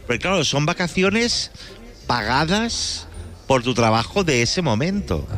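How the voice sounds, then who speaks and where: A man's voice: a little high, very animated, measured pace, fairly bright tone, faint music behind. A man co-hosting a radio show who questions callers with enthusiasm. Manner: enthusiastic, inquisitive. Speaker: radio co-host.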